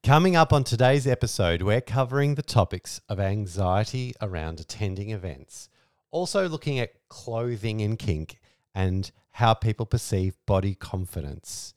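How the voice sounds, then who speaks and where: Low-voiced man hosting a podcast, smooth. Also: lively intonation, normal speed, no room sound, studio quality. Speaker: podcast host. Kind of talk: scripted podcast narration.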